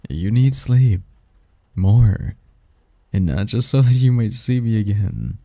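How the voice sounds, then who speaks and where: A man's voice: fairly low pitch, lively intonation, takes it slowly, dark tone, dry recording, full character voice. A man voicing a doting, possessive sleep demon lover in an intimate romantic ASMR roleplay, teasing and tender in courtly phrasing. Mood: caring, coaxing.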